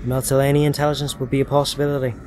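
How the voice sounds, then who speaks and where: A man playing a sharp, commanding intelligence agent in a science fiction audio drama, with clipped, probing speech. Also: mid-range voice, little pitch movement, rapid-fire, close to an everyday voice. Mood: businesslike. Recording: clear background ambient noise.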